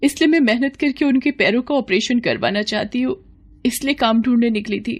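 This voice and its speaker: A woman's voice: on the high side, a little fast, lightly acted. A woman voicing a humble, sorrowful bride in an audio story, politely and earnestly pleading.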